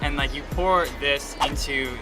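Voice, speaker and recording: A man's voice: fairly high pitch, a little slow, over loud music. A man from New Jersey with an American accent, chatting casually and jokily as a guest comedian. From New Jersey, United States. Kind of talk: casual joking chat.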